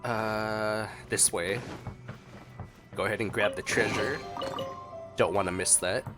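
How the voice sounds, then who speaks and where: A man's voice: fairly low pitch, steady tone, takes it slowly. A man giving casual gameplay commentary as a streamer, slightly under the weather. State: mildly sick. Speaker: game streamer. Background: game music.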